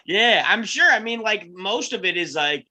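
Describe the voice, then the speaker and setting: A man's voice: high voice, lively intonation, moderate pace, pushed and bright. A man chatting casually in a slangy, buddy-like way as a table read cast member. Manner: slangy, says "dude" and "man" often. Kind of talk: casual chat.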